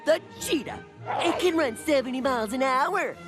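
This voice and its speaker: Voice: high-pitched, expressive, normal speed. An actor playing a childlike, boastful, dim-witted jungle man in a cartoon, speaking naively. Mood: determined. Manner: naive, speaks of himself in third person. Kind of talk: exaggerated cartoon voice acting. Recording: music behind the voice.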